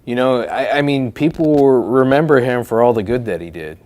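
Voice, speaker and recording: A man hosting a casual podcast, easygoing and asking his guest questions with frequent "uh" pauses. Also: mid-range voice, darkish tone. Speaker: podcast host.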